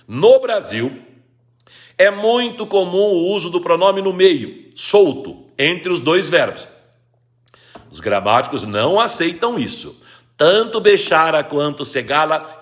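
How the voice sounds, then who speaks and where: A man's voice: on the high side, a bit bright. A man with a Brazilian Portuguese accent, teaching grammar in a live lesson, reading out viewers' questions and restating rules with emphasis. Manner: explains patiently, reads viewers' questions, repeats rules emphatically.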